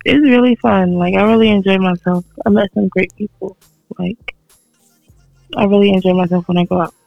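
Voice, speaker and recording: A woman in her twenties, a guest chatting casually in slang, quick to laugh and raise her voice. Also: medium pitch, fairly even intonation, creaky, no echo, narrow, tinny audio, soft background music. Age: 20s. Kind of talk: casual chat.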